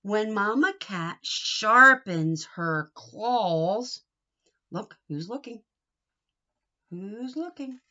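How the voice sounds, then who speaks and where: A woman reading a picture book aloud to young children in an expressive, sing-song way, coaxing them with questions. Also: mid-range voice, drawn-out words, highs cut off.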